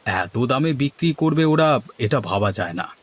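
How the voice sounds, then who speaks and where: A man's voice: medium pitch, expressive, normal speed, lightly acted. A man voicing village men in a cartoon, from schemers to worriers, with theatrical, exaggerated dialogue delivery. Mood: sly, calculating.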